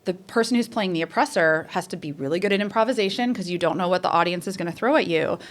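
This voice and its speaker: A woman theater professor with a lower voice, telling stories in a casual interview, chatty and full of fillers and restarts. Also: quick pace. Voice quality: says she has a lower voice.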